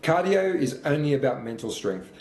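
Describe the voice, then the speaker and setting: A man's voice: a little high, sing-song, moderate pace, darkish tone, echoey room. A man of about fifty, talking through his own sprint workout as a fitness coach, motivational and matter-of-fact. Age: 50s.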